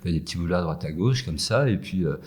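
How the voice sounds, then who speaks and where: A man from France with a very low, husky voice talks slowly through interview answers. He hesitates constantly, fills pauses with "euh" and restarts his phrases. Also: animated, darkish tone. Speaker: guest, food entrepreneur. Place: empty yoga room with echo.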